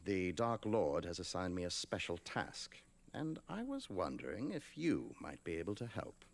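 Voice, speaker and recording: An actor playing a composed, secretive professor of dark wizardry in a fantasy audio drama, with a gravelly voice. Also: male voice, deep voice, wildly swooping pitch, normal speed, exaggerated voice. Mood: businesslike.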